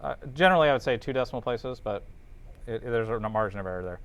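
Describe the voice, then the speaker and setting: A man with a clear, smooth voice teaches in an informal, classroom style. He thinks aloud step by step and checks in with the students as he works. His pitch sits in an ordinary middle range. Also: expressive, fast talker, dull audio. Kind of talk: informal classroom lecture working through calculations.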